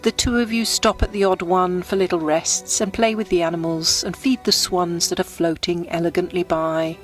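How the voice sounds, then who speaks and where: A woman with a slightly low voice leads a guided meditation. She reads her scripted scene gently and without hurry, painting it like a storyteller, with faint music playing behind her. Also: fairly even intonation. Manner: gentle, unhurried, descriptive storytelling. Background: background music.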